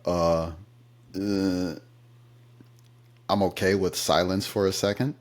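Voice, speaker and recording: Very deep-voiced man telling stories. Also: level tone, slow. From United States. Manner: thoughtful storytelling, occasional fillers. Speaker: interview guest, interpreter. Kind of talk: relaxed conversational interview.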